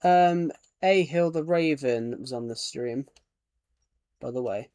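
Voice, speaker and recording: A man's voice: medium pitch, slow pace, darkish tone, dry recording, studio quality. A British man streaming a game, chatting casually and rambling while he reads viewer comments and names aloud. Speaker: livestreamer. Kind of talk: casual livestream chat while playing a game.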